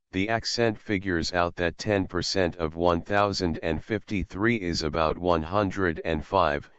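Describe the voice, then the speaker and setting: Deep-voiced man calmly explaining a tutorial. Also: clean tone, no echo. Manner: calm, methodical explaining. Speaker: assistive technology instructor. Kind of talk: screen-recorded tutorial. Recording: slightly dull, dry voice-over.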